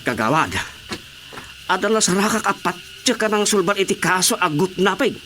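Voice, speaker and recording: A man's voice: high-pitched, lively intonation, normal speed, over music. A man with an Ilocano accent acting a village watchman who makes reports in a radio drama comedy. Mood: alarmed. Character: male village watchman excitedly reporting to his superiors.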